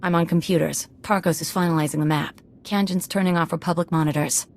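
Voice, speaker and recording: A woman playing an edgy, nervy computer slicer in a video game, with quick, snippy delivery. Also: on the low side, steady tone, a little mellow, lightly acted.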